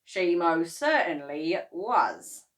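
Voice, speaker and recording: A woman voicing a spiteful husband who crows gleefully in a raised, loud voice. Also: on the low side, very animated, drawn-out words, full character voice. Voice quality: gloating, crowing.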